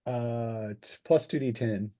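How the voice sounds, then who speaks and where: A man narrating a tabletop roleplaying game as game master, improvising descriptions in a halting way. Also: medium pitch, mellow.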